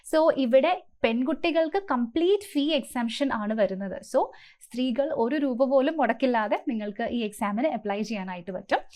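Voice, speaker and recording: High-pitched woman explaining like a coach. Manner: instructive, mixes in many English terms. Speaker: exam coaching presenter. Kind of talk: explanatory presentation to camera for exam aspirants.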